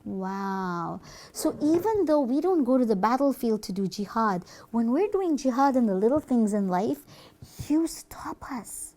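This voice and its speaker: A woman's voice: a little high, expressive, measured pace, mellow. A woman voicing an earnest, astonished pupil who scolds the devil in a children's puppet show. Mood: dismayed.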